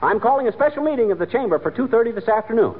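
An actor with an American accent playing a boastful, bumbling small-town husband, pompous and folksy, in 1940s radio comedy. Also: male voice, extremely high pitch, lively intonation, very fast, slightly rough, heavily acted. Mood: important, chummy. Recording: tinny, noisy old radio recording.

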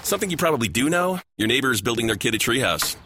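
Quick-talking male commercial announcer. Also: mid-range voice, big pitch swings, noisy background. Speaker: advert announcer. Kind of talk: scripted commercial read.

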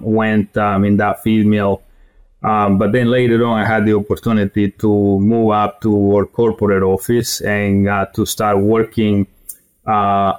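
A middle-aged man from Guatemala talks in a low, fairly flat voice. His tone is smooth and clear. He answers in halting stretches full of fillers and restarts. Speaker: podcast guest, feed industry director. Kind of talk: conversational interview answers.

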